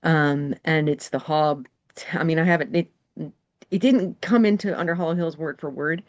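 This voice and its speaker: Low-voiced woman telling a rambling story. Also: normal speed, a little mellow, dull audio. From New England. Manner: rambling storyteller, many fillers. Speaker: guest game designer. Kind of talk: casual conversation.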